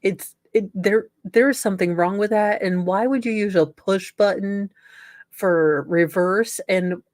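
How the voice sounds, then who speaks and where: A woman's voice: medium pitch, a little slow, a little mellow, no echo, studio quality. A woman bantering casually and comically in a sarcastic, emphatic way.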